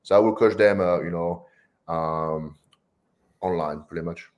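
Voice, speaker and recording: A man's voice: low voice, measured pace. A man from New Caledonia with a French accent, a strongman coach answering interview questions casually with many fillers.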